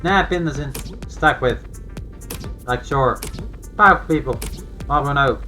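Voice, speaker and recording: An actor voicing a brash, teasing young joker in a video game, animated and full of slangy exclamations. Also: mid-range voice, slow pace. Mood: breezy. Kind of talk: voiced visual novel dialogue. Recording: game audio with music behind.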